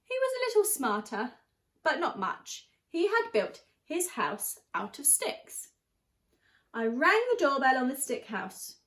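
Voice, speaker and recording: Young woman, teacher reading a picture book to kids; high voice, very lively intonation. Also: a little slow. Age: young adult. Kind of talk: animated children's storybook reading.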